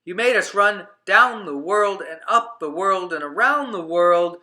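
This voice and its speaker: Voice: mid-range voice, expressive, takes it slowly, bright, forceful tone, reverberant, pitched above the performer's own voice. A storybook reader voicing a crowd of townspeople who exclaim and scold in raised, indignant voices. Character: crowd of frightened, then furious townspeople.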